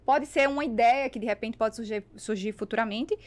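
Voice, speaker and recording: High-voiced Brazilian woman, conversational. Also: a little fast. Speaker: podcast guest, business networker. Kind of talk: animated conversational storytelling. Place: small foam-treated podcast studio. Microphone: close podcast microphone on boom arm.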